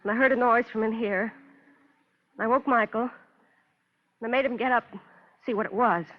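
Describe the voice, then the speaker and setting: An actress playing a distraught, imperious wife fighting for composure, in intense vintage radio melodrama style. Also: female voice, a little high, fairly even intonation, a little fast, close to an everyday voice. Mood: numb, controlled. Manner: intense, clipped, repeating pleas and demands. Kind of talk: 1950s radio melodrama acting. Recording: muffled, faintly noisy old radio recording.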